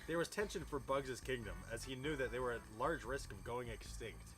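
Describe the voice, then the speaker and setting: A man's voice: medium pitch, animated, brisk, rough recording. A man reading a story aloud in storybook style, amused and incredulous, given to breaking off with his own comments. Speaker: reader of a fan fiction story. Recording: music playing behind the voice. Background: music.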